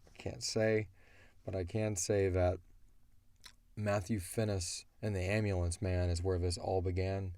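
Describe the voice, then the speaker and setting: A man with a low, creaky voice chats casually on a podcast. He is blunt, thinking aloud as he fields listener questions, and the tone is soft-edged and dark. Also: flat delivery, measured pace, dry recording. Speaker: audio drama creator answering listener questions.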